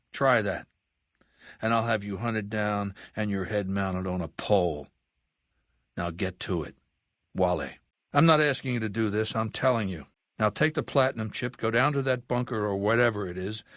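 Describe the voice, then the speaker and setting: Man acting a low-voiced, imperious warlord. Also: a bit bright, no room sound, clean studio sound, lightly acted. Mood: commanding, menacing. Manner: commanding, deliberate, menacing, coldly sardonic. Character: ruthless, imperious male warlord commanding a legion. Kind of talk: video game character dialogue.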